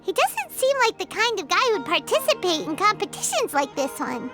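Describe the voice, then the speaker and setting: Tiny childlike game sidekick, extremely high squeaky voice, wildly animated, chirpy and nosy. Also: female voice, normal speed, music in the background. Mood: curious. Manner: chirpy, refers to self in third person. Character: small childlike female floating companion, bubbly and nosy. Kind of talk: video game character acting.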